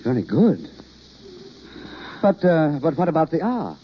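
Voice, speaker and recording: A man's voice: a little high, huge pitch swings, measured pace, a little hiss or hum. An actor playing an earnest, reserved male drama coach, formal and hesitant, then fervent, in vintage radio drama style. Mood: earnest, instructive. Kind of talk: 1930s-40s radio drama acting. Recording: dull old radio broadcast recording.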